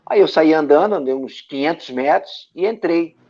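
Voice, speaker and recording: A man from Brazil, an actor and director, telling stories from his career as an interview guest, with a lively anecdotal manner and frequent tag questions. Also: a little high, normal speed. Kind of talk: storytelling interview answers. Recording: dull lo-fi audio.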